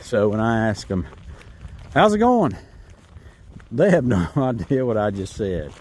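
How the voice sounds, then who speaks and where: A man's voice: on the low side, very animated, unhurried, a little mellow. A man from North Carolina with a Southern American accent, rambling in a folksy, self-amused way as he vlogs while hiking. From North Carolina, USA. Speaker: hiker vlogger. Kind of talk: casual walking vlog. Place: outdoors on a rural trail.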